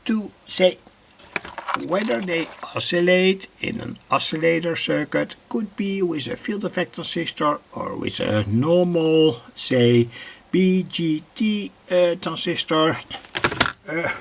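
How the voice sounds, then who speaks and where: Slow, gravelly man explaining radio coils. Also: fairly high pitch, noisy background. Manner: slow and halting, many "uh" pauses. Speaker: radio hobbyist presenting his own channel. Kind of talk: unscripted hands-on demonstration.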